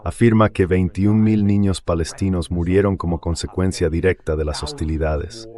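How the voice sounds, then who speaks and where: Very low-voiced male news reporter. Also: darkish tone. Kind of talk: scripted news report narration.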